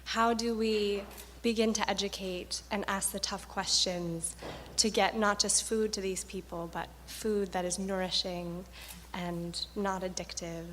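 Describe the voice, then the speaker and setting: A woman's voice: mid-range voice, level tone, a little slow, fairly bright tone. Woman in the audience asking an earnest question, echoey hall with a bit of room noise. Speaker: audience member who works with a community. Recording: reverberant hall with faint ambience.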